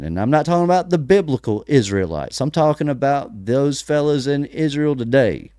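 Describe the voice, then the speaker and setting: A man's voice: mid-range voice, a little mellow, no room sound, studio quality. Emphatic American man preaching rhetorically. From United States. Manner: emphatic, rhetorical questions, pauses and restarts. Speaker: podcast host. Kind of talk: preaching-style religious commentary.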